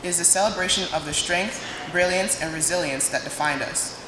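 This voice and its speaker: A young woman from Nigeria, giving a prepared student speech in a dramatic, emphatic way with pauses. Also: lowish voice. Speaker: student speaker. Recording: echoey hall, noisy room sound.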